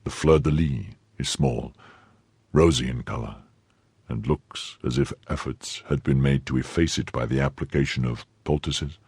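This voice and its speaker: A man voicing a phlegmatic, commanding gentleman musketeer in an audiobook, with a terse, measured delivery. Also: rumbling low, big pitch swings, breathy edge, no room sound, heavily acted. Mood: subdued, intent. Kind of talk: audiobook character acting.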